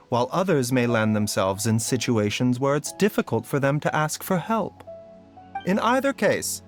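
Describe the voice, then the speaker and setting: An actor playing a gentle, scholarly doctor in a fantasy game, speaking in a measured, polite and cautious way. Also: male voice, mid-range voice, natural voice. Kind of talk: scripted game voice acting. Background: background music.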